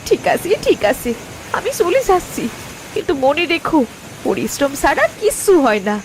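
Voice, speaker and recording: Woman acting a high-voiced, pleading farmer's wife. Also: very animated, normal speed, a little hiss or hum, low-quality recording. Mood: pleading, firm. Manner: pleading, emotionally emphatic. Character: distressed, hardworking young farmer's wife, wronged yet principled. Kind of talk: moral-story cartoon dubbing.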